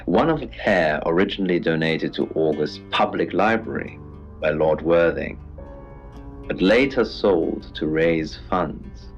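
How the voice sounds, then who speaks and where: An actor playing an eerie, theatrical male host of a spooky late-night radio show, speaking deliberately. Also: sits low. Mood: eerie, showy. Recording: dull lo-fi radio sound over music. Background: background music.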